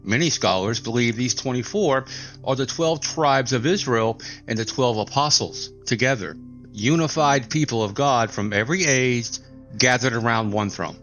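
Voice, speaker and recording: A man with a mid-pitched voice explains scripture as a scripted, dramatic narration. His phrasing is solemn and deliberate, with emphatic pauses. Soft music plays behind him.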